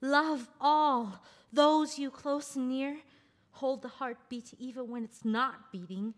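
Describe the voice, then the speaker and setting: A woman voicing a devoted confidante who speaks of love in gentle verse. Her voice is slightly high, and she recites slowly. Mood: tender. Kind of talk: table read of a stage play.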